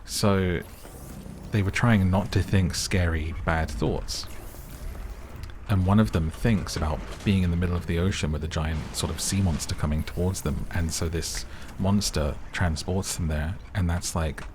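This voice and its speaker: A man's voice: deep voice, fairly even intonation, unhurried, a little mellow, slight background noise. A man hosting a let's-play with chill, rambling commentary and self-deprecating asides, his voice a little worn today. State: slightly losing his voice. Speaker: gaming let's-play host. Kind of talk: chill casual gameplay commentary. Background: background music.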